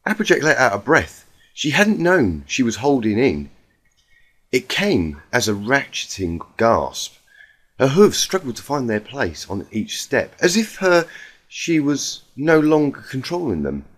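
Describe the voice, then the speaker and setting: A man's voice: mid-range voice, wildly swooping pitch, a little slow. A man reading a scripted story aloud as a narrator, in a dramatic storytelling style. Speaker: fan fiction reader.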